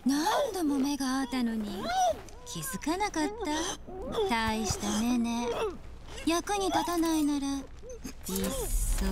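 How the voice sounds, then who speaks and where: A woman's voice: high voice, very animated, normal speed, heavy background noise. A woman acting a seductive, menacing female interrogator in an anime game, teasing and threatening in a sultry way. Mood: taunting.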